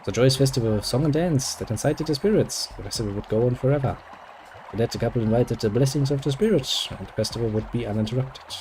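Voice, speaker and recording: A man's voice: mid-range voice, fast, a little mellow, a little hiss or hum, rough recording. A man reading game dialogue aloud and adding dry, sarcastic jokes as he plays. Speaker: gameplay video host.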